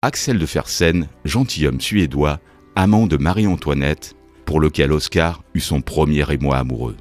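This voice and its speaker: A man's voice: extremely low pitch, moderate pace, soft background music. A man reading scripted documentary narration as the narrator.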